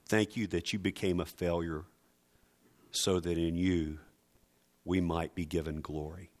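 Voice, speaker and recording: Slow, deliberate male preacher. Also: low voice, level tone. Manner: emphatic, dramatic, deliberate phrasing with repetition. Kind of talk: sermon.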